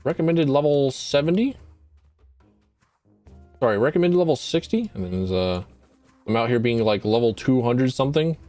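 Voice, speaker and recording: A man's voice: mid-range voice, lively intonation, normal speed, pauses often, a little mellow, no echo, soft background music. A man streaming a video game, chatting casually in a rambling, wry way and often correcting himself. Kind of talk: casual gameplay commentary.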